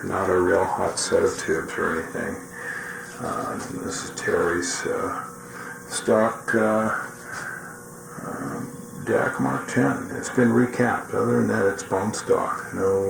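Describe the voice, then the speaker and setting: An American man giving a casual, rambling, folksy demonstration and chatting as a radio hobbyist. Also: low-pitched. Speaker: radio repair hobbyist and CB operator. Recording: clear background noise.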